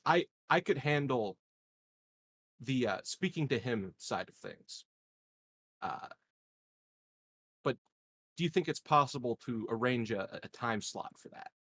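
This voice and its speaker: A man playing a deadpan, scheming political candidate in a tabletop game, speaking hesitantly and with sly politeness. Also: mid-range voice, a little slow, pauses often, no echo. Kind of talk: improvised tabletop roleplay.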